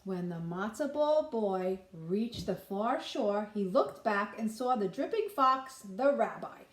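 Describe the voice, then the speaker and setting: A woman reading a children's storybook aloud like a nursery teacher, pausing to put simple questions to listeners. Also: medium pitch, expressive, roomy sound, low-quality recording.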